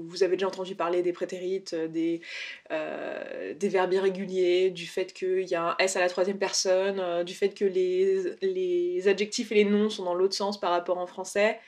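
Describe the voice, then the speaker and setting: A woman from France, speaking as a video blogger who gives casual, unscripted tips in a conversational way, rephrasing as she explains. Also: mid-range voice. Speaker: video blogger giving language-learning tips. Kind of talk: casual, unscripted advice to camera.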